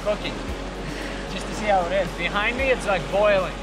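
A man's voice: high voice, fairly quick, low-quality recording. A man in his thirties filming a family travel vlog outdoors, talking in an enthusiastic, conversational way to a handheld camera. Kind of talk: casual vlog narration. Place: outdoors on a forest trail and in a river. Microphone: handheld action camera at arm's length. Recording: background music.

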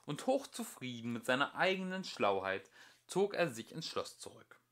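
Animated man reading a fairy tale. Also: mid-range voice, normal speed. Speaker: amateur audiobook reader. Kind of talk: expressive fairy-tale narration.

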